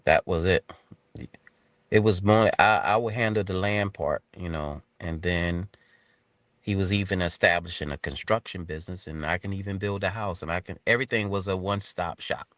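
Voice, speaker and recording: A man's voice: low-pitched, normal speed, fairly bright tone, no echo. A man in his fifties with an American accent, telling his story casually as an interview guest in informal, colloquial phrasing. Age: 50s.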